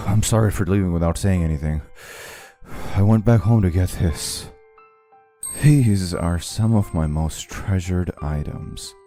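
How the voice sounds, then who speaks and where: A man's voice: low-pitched, unhurried, warm tone, soft background music. An actor playing an earnest, easily flustered young man in a romantic comedy anime-style dub. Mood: gentle, sincere.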